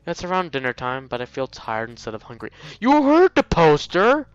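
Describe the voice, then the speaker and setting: A man's voice: fairly high pitch, huge pitch swings, moderate pace, clean tone, dull audio. A man hosting a let's play, reading a visual novel aloud and breaking off into jokey exclamations.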